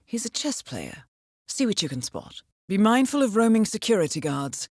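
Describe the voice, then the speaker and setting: A woman's voice: mid-range voice, sing-song, moderate pace, a little mellow, no echo, studio quality. An actress playing a cool-headed female intelligence handler in a video game, giving scripted mission briefings in a composed, formal, dryly understated way. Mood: businesslike, composed.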